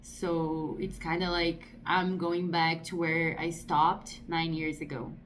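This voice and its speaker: Brazilian woman in her thirties, lower-pitched, vlogging slowly in English, thoughtful and searching for words. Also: flat delivery, a little hiss or hum. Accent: Brazilian Portuguese. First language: Portuguese. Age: 30s. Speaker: artist vlogger. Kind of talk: casual talk to camera.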